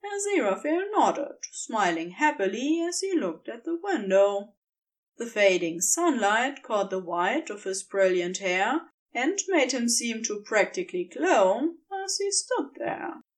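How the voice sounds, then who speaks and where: A woman narrating scripted fiction in an expressive, dramatic storytelling manner. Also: a little high, measured pace.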